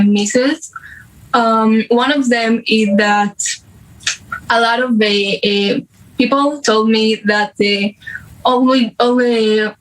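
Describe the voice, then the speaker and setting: A young woman giving an unscripted interview answer, halting as she searches for words. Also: on the high side, low-quality recording. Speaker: news interviewee, youth activist.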